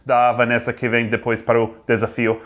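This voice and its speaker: A man's voice: mid-range voice, completely flat delivery, fairly quick, slight background noise. A man with an American accent, a native English speaker, hosting a comedy talk show with mock-enthusiastic surprise.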